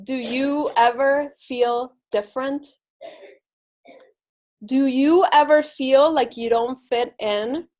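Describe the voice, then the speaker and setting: A woman's voice: high-pitched, takes it slowly, a little mellow. A woman from Argentina with an Argentine accent giving a reflective motivational talk at a spiritual center, asking the audience many rhetorical questions. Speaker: inspirational speaker.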